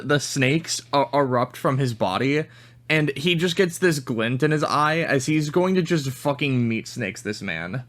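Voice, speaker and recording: A man playing a tabletop game, improvising his character's action in slangy, halting speech with swearing. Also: fairly high pitch, fairly bright tone. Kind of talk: casual, improvised action narration.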